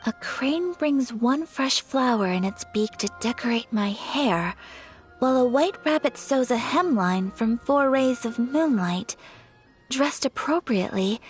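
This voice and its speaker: A thoughtful young lady adventurer from a video game, with a fairly high voice. She speaks politely and with measured care, and music plays behind her. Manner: measured, polite, carefully articulated. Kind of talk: English video game voice acting.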